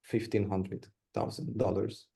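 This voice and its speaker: A man's voice: on the low side, flat delivery, a little slow, creaky, mellow. A young man from Romania, answering interview questions on a video call, a bit hesitant and repeating words. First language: Hungarian. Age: young adult. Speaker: guest, video editor.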